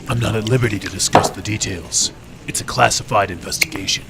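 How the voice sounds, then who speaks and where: Low-voiced cynical detective, acted by a man. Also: fast, over loud music, natural voice. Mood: dismissive, guarded. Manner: slangy, sardonic. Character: cynical, wisecracking hard-boiled 1920s police detective. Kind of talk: old-time radio crime drama acting. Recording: crowd chatter behind the voice.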